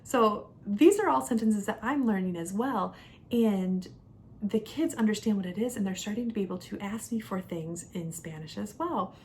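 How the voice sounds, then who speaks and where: Young woman, low creaky voice fry, chatty vlog review, emphatic key words, noticeable background rumble. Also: moderate pace, darkish tone. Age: young adult. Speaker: homeschooling mother and vlogger. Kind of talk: casual product review talk to camera.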